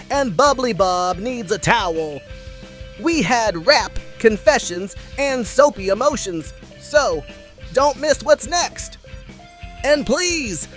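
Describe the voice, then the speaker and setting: A woman voicing a chirpy, wry puppet host in a scripted comedy show, addressing the audience theatrically. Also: on the high side. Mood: cheerful, playful.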